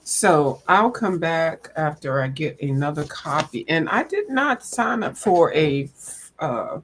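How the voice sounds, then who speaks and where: A woman's voice: low-pitched, expressive, takes it slowly, a little hiss or hum. A woman chatting casually to viewers in a folksy, rambling way. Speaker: coloring channel host. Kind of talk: casual chat to viewers about coloring books.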